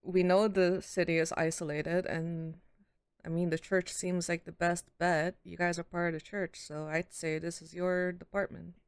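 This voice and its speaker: A woman's voice: on the low side, little pitch movement, normal speed, smooth, dry recording. A woman chatting casually as a player in a tabletop roleplaying game, moving between speaking for her character and table talk.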